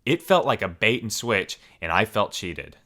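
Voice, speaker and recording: A man hosting a story channel, reading forum posts aloud in a steady, even storytelling manner. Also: fairly low pitch, moderate pace.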